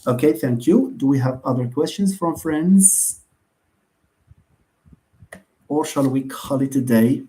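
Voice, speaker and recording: A man's voice: mid-range voice, animated, takes it slowly, pauses often, dark tone, rough recording. A man with a Moroccan accent, a university lecturer answering students' questions in an online session, hesitant with many fillers and restarts, and often asking and answering his own questions. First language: Moroccan Arabic.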